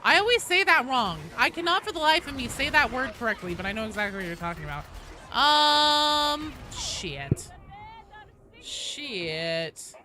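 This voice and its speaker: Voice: high-pitched, big pitch swings, a little slow, sharp tone, soft background music. A game streamer chatting casually and playfully with viewers, informal and prone to swearing. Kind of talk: casual livestream chat with viewers.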